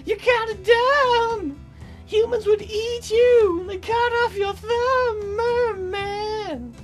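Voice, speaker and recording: A man putting on an over-the-top mock-mournful wail, taunting in a drawn-out, shrill singsong. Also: steady tone, soft background music, exaggerated voice. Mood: mock grief. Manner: shrill, wailing, drawn-out delivery.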